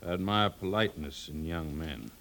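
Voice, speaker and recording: Deep, laconic Southern raider chief. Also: male voice, normal speed, gravelly, fairly bright tone, heavily acted. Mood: cool, unmoved. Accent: American, rural Southern. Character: gruff older male raider leader, unhurried, cool. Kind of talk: 1950s radio drama acting. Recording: noisy old radio broadcast recording.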